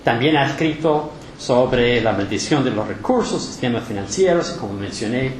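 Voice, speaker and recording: A man's voice: mid-range voice, expressive, crowd noise. Man giving a formal introduction. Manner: listing credits, measured. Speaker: moderator introducing the speaker. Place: lecture hall. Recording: roomy hall with background noise.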